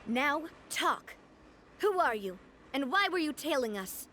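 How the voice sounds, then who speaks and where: A woman's voice: high-pitched, very animated, measured pace, bright, forceful tone, slight background noise, full character voice. A woman voicing a confident young woman who leads a gang, speaking in an upbeat, self-assured way in a video game. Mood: commanding. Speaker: gang leader.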